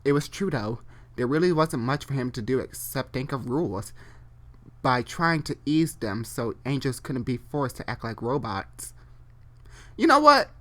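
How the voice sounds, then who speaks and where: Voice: medium pitch, sing-song, fairly bright tone. A person reading fan fiction aloud live, stumbling and repeating phrases, and breaking off for chatty, informal asides. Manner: halting, repeats and corrects phrases while reading. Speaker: online storyteller.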